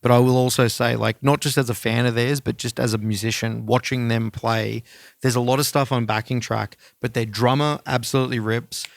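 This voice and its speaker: A man's voice: medium pitch, fairly even intonation, fairly quick, vocal fry, no echo, studio quality. A man co-hosting a music podcast, chatting casually with informal, sweary enthusiasm.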